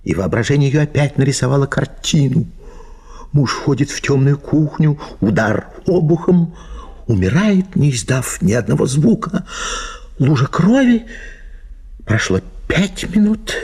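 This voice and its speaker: A man narrating a literary story in an expressive, theatrical way. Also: mid-range voice, measured pace, long pauses, breathy edge.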